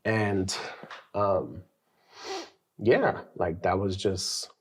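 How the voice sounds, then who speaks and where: A man co-hosting a faith podcast, sharing his childhood story in a casual, animated way with some slang. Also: sits low, drawn-out words. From New York. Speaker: podcast co-host. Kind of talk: casual testimony chat.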